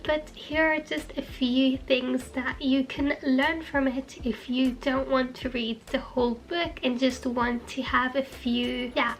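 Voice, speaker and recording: A young woman vlogging to camera with a chatty, informal manner, her voice a little hoarse from a cold. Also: high voice, a bit bright. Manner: chatty, apologetic, uses lots of fillers like basically. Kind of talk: casual talk to camera.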